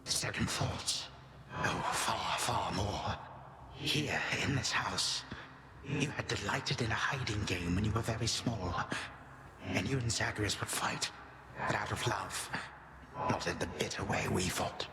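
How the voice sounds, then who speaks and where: Echoing, weary old titan. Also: male voice, lowish voice, measured pace. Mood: wistful. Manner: solemn, archaic, formal phrasing. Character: weary, defeated old titan grandfather, bitterly proud. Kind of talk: dramatic video game voice acting. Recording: echoey hall reverb, noisy background.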